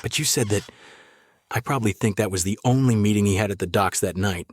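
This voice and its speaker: An actor playing a middle-aged sheriff in a noir audio drama, a patient interrogator who is measured, terse and probing. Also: male voice, lowish voice, dry recording, clean studio sound, lightly acted. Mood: quietly menacing. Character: male small-town sheriff, calm, patient, steely interrogator.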